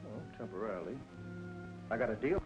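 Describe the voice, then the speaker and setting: A muffled old radio drama recording with loud music behind it. a cocky noir charmer who talks fast in clipped, evasive lines and breezily sweet-talks his way along. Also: on the low side, very animated. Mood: breezy, cocky. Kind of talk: 1940s-50s film noir radio drama acting.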